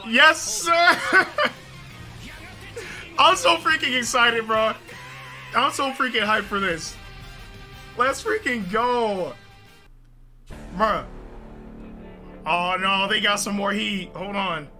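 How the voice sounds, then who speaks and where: Young man, very high voice, slow drawn-out delivery, hyped slang, headset, trailer music behind. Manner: hyped, yelling and laughing, slang-heavy. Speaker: gaming video host. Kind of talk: excited gameplay reaction. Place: small home gaming room, indoors.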